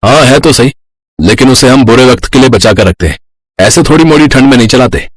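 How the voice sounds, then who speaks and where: An actor playing an easygoing, teasing young husband in a cartoon story. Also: male voice, medium pitch, a little fast, no echo, lightly acted. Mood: matter-of-fact.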